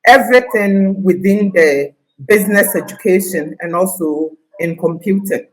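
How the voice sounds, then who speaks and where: Older Ghanaian woman, slow and confident. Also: mid-range voice, poor audio. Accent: Ghanaian, Ashanti (Akan) with some Scottish. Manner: confident, deliberate storytelling. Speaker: guest, retired teacher. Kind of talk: interview conversation.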